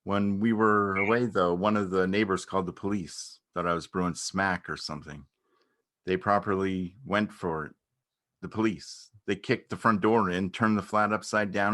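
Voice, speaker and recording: Low-pitched male actor as a shy beekeeper; halting, understated, earnest stage dialogue. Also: steady tone, a bit bright. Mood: matter-of-fact storytelling. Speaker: amateur or local theatre actor. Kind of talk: naturalistic dramatic reading of a stage dialogue.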